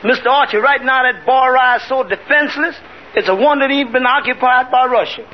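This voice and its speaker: A muffled, noisy old radio show features a complaining barman. He is a man with an extremely high voice, delivering wisecracking comebacks. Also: a little fast. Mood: protesting, wry. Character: wisecracking tavern barman answering back to his boss. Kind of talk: 1950s radio comedy acting.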